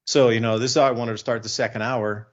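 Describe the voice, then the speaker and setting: A man's voice: medium pitch, fairly even intonation, quick pace, lo-fi sound, music in the background. A man hosting a radio show with informal, off-the-cuff commentary.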